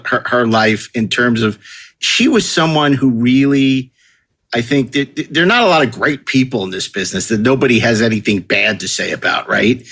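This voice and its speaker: A man's voice: medium pitch, fairly bright tone. A man hosting a podcast in a conversational style, effusive and wordy as he talks. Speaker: podcast host.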